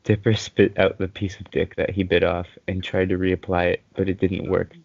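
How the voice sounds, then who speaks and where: Young man, deep-ish fry-laden voice, casual amateur reading, hesitant, dull lo-fi recording. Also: flat delivery, moderate pace, a little mellow, dry recording. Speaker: co-host reading fan fiction aloud. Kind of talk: casual group chat and amateur story reading. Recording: dull lo-fi voice chat audio.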